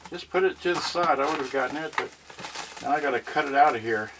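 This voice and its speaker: A man giving casual, halting unboxing commentary, muttering mildly grumbling asides to viewers. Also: mid-range voice. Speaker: unboxing vlogger. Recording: noisy recording with rustling packaging. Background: clicks and crinkling packaging.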